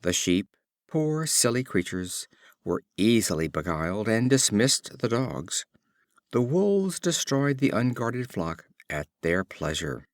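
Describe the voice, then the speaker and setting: A low-pitched man narrates old fables like an audiobook reader. His intonation moves in lively swings, with expressive, measured phrasing. The sound is close and dry. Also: dry recording.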